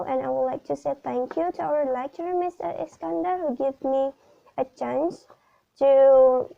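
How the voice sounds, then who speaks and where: A young Indonesian woman with an Indonesian accent, speaking English haltingly in a class video, pausing often with fillers. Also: sits high, vocal fry. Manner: hesitant, many pauses and fillers. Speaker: student. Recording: dull lo-fi recording.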